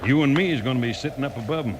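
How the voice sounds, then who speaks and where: An actor playing a sneering, scheming Western outlaw boss who talks tough and gloats, in an old-time radio drama. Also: male voice, medium pitch, racing, close to an everyday voice. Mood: gloating. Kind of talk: 1940s-50s Western radio acting. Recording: noisy old radio recording.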